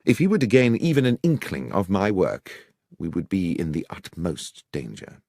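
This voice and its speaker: A man's voice: deep voice, sing-song, normal speed, darkish tone, dry recording, studio quality, heavily acted. A man voicing a brilliant, commanding detective in an audiobook, with a British accent and precise, formal, authoritative manner. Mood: grave, commanding. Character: brilliant, commanding male detective, brisk and grave.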